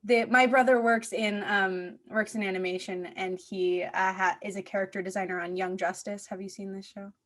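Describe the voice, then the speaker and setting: A woman's voice: mid-range voice, fairly even intonation, normal speed, hardly any pauses, a bit bright. A woman hosting a podcast in casual chat, with an informal, conversational way of talking full of "like". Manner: informal, peppered with "like". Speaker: podcast host.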